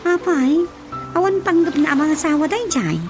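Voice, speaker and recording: A high-voiced, nosy village auntie with an Ilocano accent , acted by a woman, chats and pries in radio drama style. Prominent music plays behind her. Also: moderate pace. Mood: inquisitive. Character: nosy, chatty village auntie questioning a young girl.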